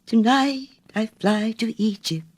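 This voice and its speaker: A woman's voice: a little high, slow, darkish tone, voice pushed up. A woman voicing a small, kind-hearted male swallow in a storybook, with an eager, exclaiming manner. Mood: high-spirited.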